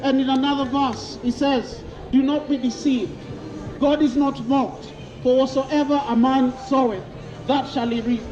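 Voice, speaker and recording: A man's voice: extremely high pitch, steady tone, measured pace. A man from Kenya preaching outdoors in a busy city square, repeating questions to passers-by and quoting scripture. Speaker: street preacher. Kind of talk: open-air street preaching. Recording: lo-fi outdoor recording with crowd noise.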